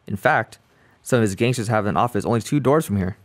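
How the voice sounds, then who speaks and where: A man's voice: lowish voice, quick pace, close to an everyday voice. A man doing a pompous crime-fighting reformer. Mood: earnest. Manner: formal, earnest, stiff speeches. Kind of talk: radio play acting.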